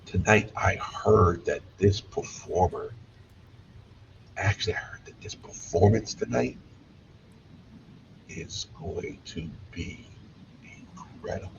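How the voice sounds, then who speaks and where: A man's voice: deep voice, slow pace, creaky, long pauses, full character voice. A man voicing an affluent, masked male drow noble who speaks in a sly, confiding whisper. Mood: eager. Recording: dull distant room mic with faint hiss.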